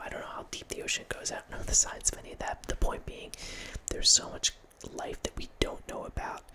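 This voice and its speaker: A man in his thirties hosts his ASMR channel in a soft unvoiced whisper, close on a studio mic. He rambles loosely, restarting phrases and slipping in quiet laughs. The sound is intimate, from a small room at home. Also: low-quality recording. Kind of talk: whispered ASMR ramble about a horror movie. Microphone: large studio mic close in front, with headphones.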